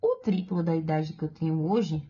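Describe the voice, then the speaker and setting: A woman's voice: low-pitched, normal speed, mellow, husky, muffled recording. A woman with a Brazilian Portuguese accent, teaching math step by step for exam prep and patiently checking in with the viewer.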